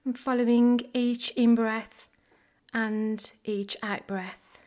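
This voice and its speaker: Slow-paced woman guiding meditation. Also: on the high side, steady tone. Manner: gentle, measured, unhurried phrasing with pauses. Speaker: meditation guide. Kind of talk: guided body scan meditation.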